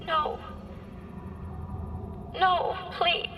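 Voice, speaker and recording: High, frightened little orphan girl. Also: little pitch movement, recorded from a distance, over music, exaggerated voice. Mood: anguished. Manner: halting, anguished. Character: frightened, pleading orphan girl who speaks only in thought. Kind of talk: old-time radio drama acting.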